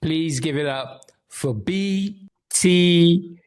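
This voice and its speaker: A man's voice: fairly high pitch, drawn-out words, heavy background noise. A man hosting a music podcast, opening with an upbeat, hype-style intro and now and then correcting himself. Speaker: podcast host.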